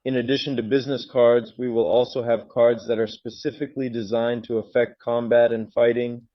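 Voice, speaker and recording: A man's voice: mid-range voice, level tone, a little fast, no room sound, dull audio. A man presenting a game's screens in a walkthrough, sometimes hesitant as he searches. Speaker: game presenter.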